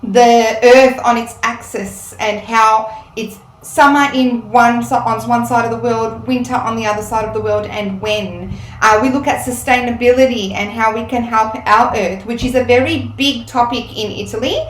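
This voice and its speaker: Chatty woman in a roomy kitchen. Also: on the high side. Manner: chatty, explains step by step with frequent ums. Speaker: Italian teacher. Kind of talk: casual cooking demonstration. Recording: roomy sound, mic at a distance, faint room noise.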